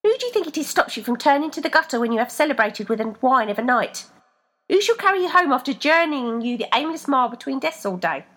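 A woman voicing a pair of talking feet, sarcastic brothers who scold loudly and sound lofty. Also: high-pitched, sing-song, fast talker, voice pushed up, heavily acted.

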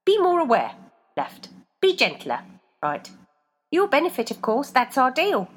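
A woman voicing a pair of talking feet, sarcastic brothers who scold loudly and sound lofty. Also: high-pitched, huge pitch swings, a little slow, pitched above the performer's own voice, heavily acted.

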